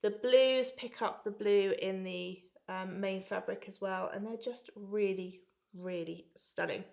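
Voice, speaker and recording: A bunged-up woman in her thirties talks through a sewing box unboxing. Her voice is nasal and congested from a cold, and her delivery is bright and enthusiastic, like easy friendly chat. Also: medium pitch, unhurried, gravelly. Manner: chatty and enthusiastic. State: blocked nose from a cold. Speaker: sewing subscription box owner. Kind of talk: casual unboxing vlog.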